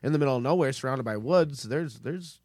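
A man casually telling a history story on a podcast in a jokey, animated way. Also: mid-range voice, fairly quick, no room sound, studio quality.

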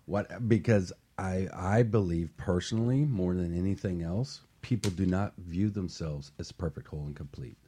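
Very deep, dark-voiced husky man. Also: moderate pace, few pauses. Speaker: radio show host. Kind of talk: motivational talk show discussion.

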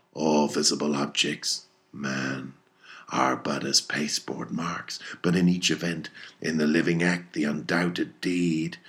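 A gravelly, abyss-deep old whaling captain. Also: male voice, fairly bright tone, full character voice. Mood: intense, brooding. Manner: grandiose, archaic, commanding. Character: obsessed, domineering old whaling captain, brooding and defiant. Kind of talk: dramatic audiobook character reading.

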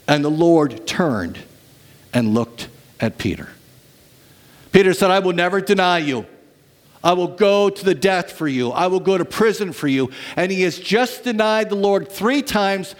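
A man preaching a sermon to his church as pastor, telling stories dramatically and swinging from lively to hushed. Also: high voice.

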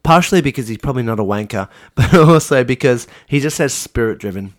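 A man's voice: mid-range voice, lively intonation, fairly quick, dry recording. An Australian man co-hosting a podcast in casual chat, with a wry, jokey and opinionated manner. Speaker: podcast co-host.

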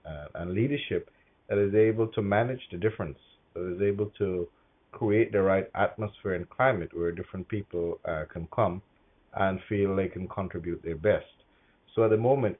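Low-pitched man, measured and hesitant. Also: breathy edge, narrow, tinny audio. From Guyana. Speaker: interview guest, corporate HR executive. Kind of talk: professional interview answers.

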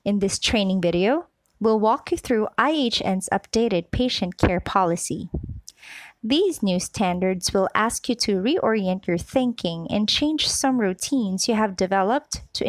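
A woman voice-over artist reading a corporate training script in a clear, measured, earnest way, close to the mic. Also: mid-range voice, expressive, no room sound.

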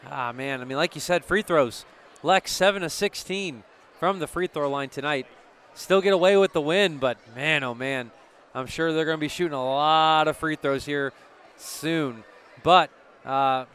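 A man's voice: on the high side, moderate pace, fairly bright tone, smooth. An American man giving casual live commentary and stats as a sports broadcast co-host. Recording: noisy gym with crowd behind. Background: crowd noise.

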